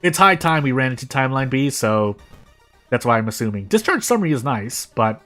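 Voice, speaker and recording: An American man streaming a game, thinking aloud in casual commentary with frequent restarts and sudden jumps in pitch. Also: animated, a little fast, a bit bright. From United States. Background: faint game music.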